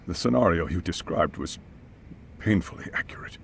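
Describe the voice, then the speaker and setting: A gravelly, lowish-voiced male school chairman in a video game drama. He is formal and uneasy, hesitating and swinging widely in tone. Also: lively intonation, moderate pace, slight background noise. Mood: uneasy, defensive. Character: anxious, apologetic male school chairman, middle-aged or older. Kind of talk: video game cutscene acting, English dub.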